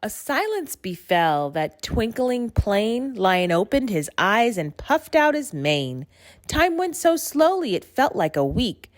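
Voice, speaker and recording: Animated woman reading a storybook aloud. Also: a little high, slow pace, no echo, clean studio sound. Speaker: storybook reader. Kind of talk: expressive children's read-aloud narration of rhyming verse.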